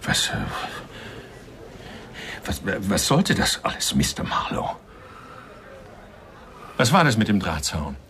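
An actor playing an uneasy, proper gentleman in a radio crime drama, stammering and agitated. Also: low-pitched, very animated, takes it slowly, long pauses, a little hiss or hum, full character voice. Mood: bewildered. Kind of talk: 1990s German radio crime drama acting.

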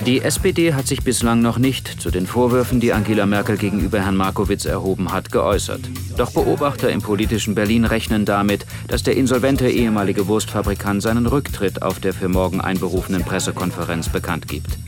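An actor playing a polished, formal news presenter in a political radio satire. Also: male voice, fairly low pitch, brisk, few pauses, music in the background, close to an everyday voice. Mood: formal, probing.

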